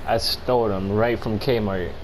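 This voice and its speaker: An American man with a low voice tells a story in a casual, conversational way. His delivery is halting, slangy and profane. There is clear noise behind him. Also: steady tone. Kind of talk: casual storytelling chat among friends.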